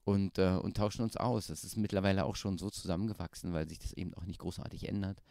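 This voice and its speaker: A man's voice: low voice, quick pace, vocal fry, no echo, studio quality. A man in his thirties, an actor answering interview questions casually with many fillers and restarts, holding a handheld mic in a small indoor room. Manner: relaxed, chatty, frequent fillers and restarts. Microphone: handheld microphone.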